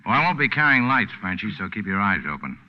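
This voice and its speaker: Tough deadpan skipper, few words, lowish voice, 1940s radio play, muffled sound. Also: male voice, fast, long reverb tail. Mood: terse, cool. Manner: terse, deadpan. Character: tough, laconic mercenary male boat skipper. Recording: dull 1940s radio recording.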